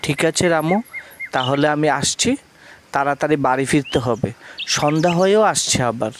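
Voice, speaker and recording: A man's voice: fairly high pitch, expressive, normal speed, background noise, natural voice. A man voicing a kind-hearted, generous and humble village husband in a cartoon moral tale, earnest and familiar. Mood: hurried.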